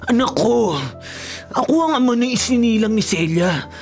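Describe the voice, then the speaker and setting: A man's voice: very high voice, animated, normal speed, faint music behind. A man playing a lovelorn young college student, pleading and faltering in a melodramatic radio drama. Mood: hurt, protesting. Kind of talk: Filipino radio drama acting.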